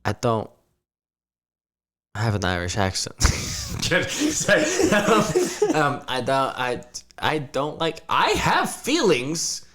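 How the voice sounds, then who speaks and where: A man's voice: medium pitch, huge pitch swings, a bit bright. A young man playing a tabletop role-playing game, joking, swearing and laughing a lot, sometimes speaking in character. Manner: laughing, profane, rapid joking. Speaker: player. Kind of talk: casual tabletop game chat, partly in character.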